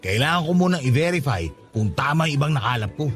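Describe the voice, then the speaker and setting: A sly office gossip hoards his secrets and teases them out slowly, as a man plays him. His voice swoops high and low as he leans in. Soft music runs under this radio drama scene. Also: expressive, natural voice. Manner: conspiratorial, teasing.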